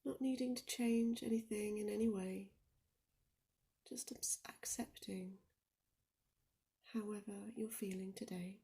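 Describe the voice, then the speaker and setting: A woman leading a guided meditation in a soft, soothing, often near-whispered voice, speaking deliberately with gentle pauses. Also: mid-range voice, steady tone. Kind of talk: guided body scan meditation.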